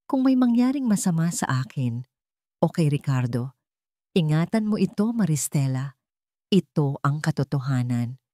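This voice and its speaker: Sad older auntie done by a lady narrator, grave n deliberate, storybook drama, dry sound. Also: sits low, sing-song, mellow, dry recording. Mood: solemn. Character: older aunt, sorrowful keeper of family secrets.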